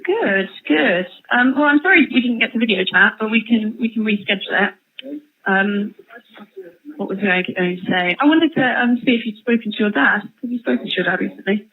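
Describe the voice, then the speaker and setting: A woman's voice: mid-range voice, brisk, slightly rough. A woman chatting casually and a little tentatively as an interviewer on a thin, tinny phone call. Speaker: media interviewer calling an inmate. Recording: tinny, band-limited phone line.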